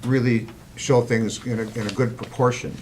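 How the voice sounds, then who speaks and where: A man speaking haltingly in a meeting discussion, often repeating words as he works out his point. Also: mid-range voice, fairly even intonation. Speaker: public meeting participant. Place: indoor meeting room. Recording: noisy meeting room recording.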